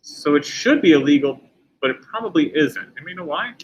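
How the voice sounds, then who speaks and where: A man's voice: on the high side, lively intonation, a little fast, slight background noise, rough recording. A middle-aged man teaching a class, lecturing in a conversational way and putting questions to the students.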